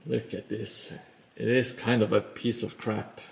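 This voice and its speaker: A man's voice: on the low side, level tone, gravelly, slight background noise. A man with a Norwegian accent chatting casually on a live stream while he builds, rambling and poking fun at himself. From Norway. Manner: rambling and self-mocking, with frequent pauses.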